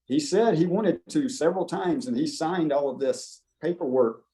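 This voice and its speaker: Mellow-voiced American man telling stories. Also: on the high side, moderate pace. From United States. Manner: relaxed storytelling with fillers and quoted voices. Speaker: English teacher. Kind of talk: casual interview chat.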